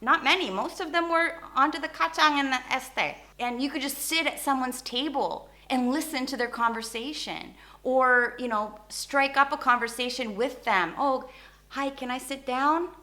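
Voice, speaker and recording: A woman vlogger speaks in a somewhat high, sharply bright voice. She rants informally to her viewers with animated, opinionated delivery. Indonesian words and phrases slip easily into her English. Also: normal speed, few pauses. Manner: animated, informal, acts out short imagined exchanges. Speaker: YouTube host. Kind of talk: casual opinionated rant to viewers.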